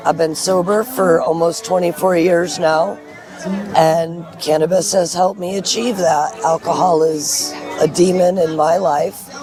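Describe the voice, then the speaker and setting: A middle-aged woman with a hoarse voice, giving frank, earnest personal testimony as an interview guest at a festival. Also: lowish voice, steady tone, unhurried. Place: at a festival in a park. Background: music.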